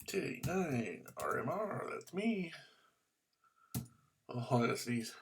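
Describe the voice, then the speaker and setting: A man from the United States vlogging about ham radio, muttering to himself as he searches on his computer, with bursts of excited cheering. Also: medium pitch, slow, vocal fry, slight background noise. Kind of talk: casual screen-recorded commentary.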